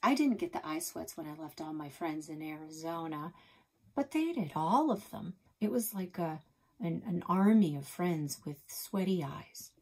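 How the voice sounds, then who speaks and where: A woman's voice: low voice, lively intonation, unhurried, husky, exaggerated voice. A woman reading a children's book aloud, voicing a sulky, homesick young boy who complains and rambles. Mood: rambling.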